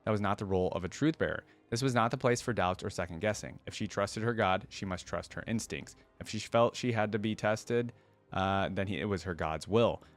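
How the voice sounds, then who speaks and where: Low-voiced man reading and reacting. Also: fairly quick, faint music behind. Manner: informal, slangy asides. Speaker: reaction video host. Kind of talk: reading a fantasy story aloud with casual commentary.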